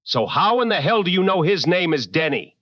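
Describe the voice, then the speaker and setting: An actor playing a seasoned, protective late-night radio host in a drama, with a firm, wry and deliberate delivery. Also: male voice, high voice, sing-song, a bit bright, no echo, dull audio. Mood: indignant, protective.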